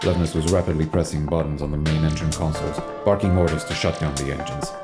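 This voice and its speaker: A man's voice: very deep voice, fairly even intonation, quick pace. A man giving scripted, storytelling narration for an audio drama. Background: music.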